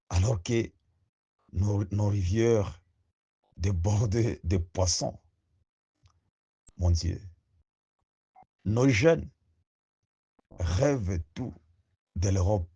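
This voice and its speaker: A man giving a slow, rousing spoken address to young listeners, full of rhetorical questions. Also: lowish voice, breathy edge. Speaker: activist speaker.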